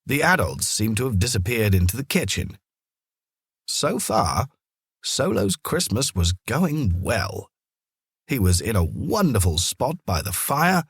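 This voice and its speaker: Guy reading a kids story, really animated pitch, dry close sound. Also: unhurried, breathy edge, no echo. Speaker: story narrator. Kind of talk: expressive scripted children's storytelling.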